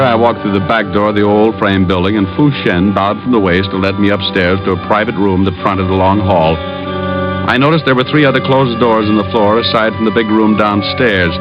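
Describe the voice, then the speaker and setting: Low-voiced, wisecracking private eye played by a man. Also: close to an everyday voice. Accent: American. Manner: wry, clipped, hard-boiled. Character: wisecracking tough male private detective. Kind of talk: 1940s-50s radio drama acting with narration. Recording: muffled old radio recording with music.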